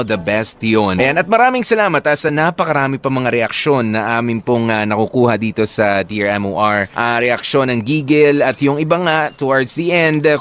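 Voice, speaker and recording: A man's voice: medium pitch, a bit bright. Filipino guy radio dj giving love advice, chatty, slips english phrases in. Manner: conversational, mixes in English phrases. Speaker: radio DJ hosting a love-story advice show. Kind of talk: reading listener letters and giving casual advice.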